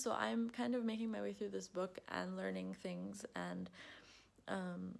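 A woman's voice: mid-range voice, gravelly. A woman in her twenties whose first language is Arabic, talking through her recent reads in a thoughtful, chatty way with many fillers, recorded on a close webcam at home. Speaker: book vlogger. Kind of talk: casual book review. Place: home room with bookshelves. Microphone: webcam at close range.

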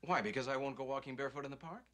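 An actor playing a practical young lawyer husband in a romantic comedy, dry, sarcastic and easily exasperated. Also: male voice, mid-range voice, very fast, bright, forceful tone, close to an everyday voice. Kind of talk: theatrical romantic comedy acting.

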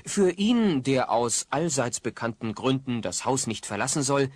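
A man's voice: medium pitch, expressive, a little slow, a little mellow, no room sound. A man narrating a comedy audio play in a wry storytelling manner.

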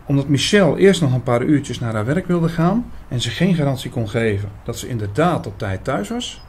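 A man playing an earnest older priest who narrates a radio drama in a measured way, with dramatic pauses. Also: medium pitch, animated, a little mellow, slight background noise, natural voice. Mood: calm. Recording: busy chatter behind the voice. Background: busy restaurant crowd.

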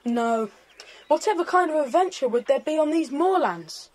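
High-voiced, sensible British boy. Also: lively intonation, normal speed, a little mellow, poor audio. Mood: doubtful. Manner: polite, self-assured. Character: polite, sensible boy, leader of young friends. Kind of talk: children's audio drama acting.

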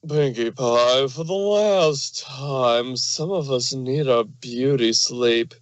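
A man plays a fussy, fashion-minded lady pony in a medium-pitched male register. The delivery is prim, haughty and indignant, as in a cartoon fan drama. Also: slow pace, no echo, natural voice. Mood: irritated. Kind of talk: fan fiction audio drama.

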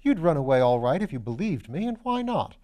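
A man's voice: fairly high pitch, sing-song, fairly quick, dark tone, voice pushed up. A man voicing a flippant, shrewd aristocratic detective, breezy and assured, in a storybook reading. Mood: urgent, assured. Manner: breezy, self-deprecating, assured.

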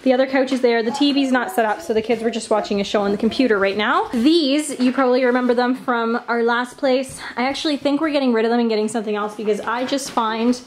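A woman's voice: a little high, fairly even intonation, fast talker, hardly any pauses. A young woman in her twenties chatting casually while filming a vlog tour of her messy house, holding the camera at arm's length. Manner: chatty, rambling, laughs often. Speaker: family vlogger. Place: small house indoors, rooms mid-move. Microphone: handheld camera at arm's length.

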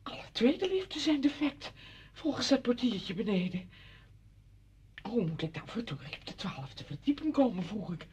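A woman's voice: on the high side, sing-song, moderate pace, a little mellow, breathy edge, exaggerated voice. An actress playing an effusive, chatty woman visitor who is out of breath in a radio drama. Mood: breathless, indignant. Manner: effusive, exclaiming. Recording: muffled, dull old radio play recording.

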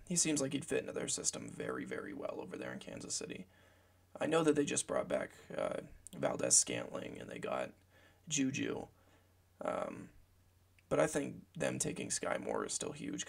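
Gravelly, hoarse young man. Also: a bass voice. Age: young adult. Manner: meandering, filler-heavy, self-correcting. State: hoarse from a cold. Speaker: football draft analyst. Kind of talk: casual mock-draft commentary. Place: small room at home.

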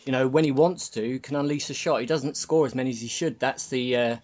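A man's voice: medium pitch, brisk, a little mellow, lo-fi sound. A man hosting a casual sports podcast and chatting with his panel. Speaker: podcast host.

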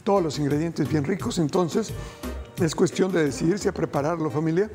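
A man's voice: a little high, normal speed, faint music behind. A middle-aged man hosting a TV cooking show, giving folksy advice to viewers in a studio kitchen. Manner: folksy, addresses viewers as family, gentle advice. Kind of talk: cooking demonstration.

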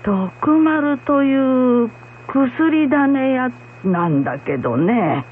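Woman playing slow-spoken, frank old woman healer. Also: high-pitched, expressive, exaggerated voice. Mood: coaxing. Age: older. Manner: unhurried, gently admonishing. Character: kindly, frank old blind woman acupuncturist. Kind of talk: radio drama dialogue. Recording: muffled radio recording with hum, faint noise.

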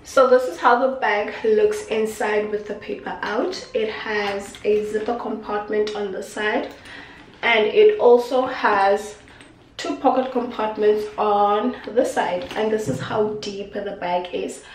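A woman's voice: mid-range voice, fairly even intonation, takes it slowly, far from the mic, soft background music. Young female beauty vlogger, stuffy nose, chatty and affectionate; recorded off-mic in an echoey kitchen. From South Africa. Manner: chatty, affectionate, repeats herself. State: congested. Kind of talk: casual unboxing talk to camera. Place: small indoor kitchen.

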